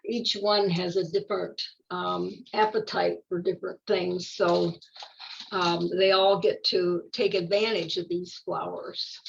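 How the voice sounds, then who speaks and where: An older woman reads a children's story in a creaky, gravelly voice. She takes her time and slips into casual, musing asides. The sound is a bit dull and lo-fi. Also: fairly low pitch, little pitch movement, measured pace. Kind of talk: read-aloud picture book with casual asides.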